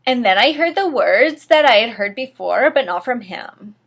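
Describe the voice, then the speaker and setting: A woman's voice: fairly high pitch, animated, normal speed, creaky, fairly bright tone. A woman giving a theatrical dramatic reading of a fan fiction story, with emphatic narration and dry asides.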